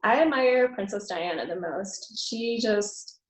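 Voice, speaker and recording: A woman speaks at an ordinary pitch in a soft, hesitant way. She fills her answers with pauses as she talks through an interview. The sound is roomy and echoing. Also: expressive. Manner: soft-spoken, hesitant with many fillers. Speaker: pageant contestant.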